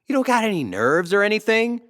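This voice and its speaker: A man playing a brash, self-adoring talk show host in an audio drama, showy, flattering and teasing. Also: high voice, fairly quick. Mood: teasing, prying.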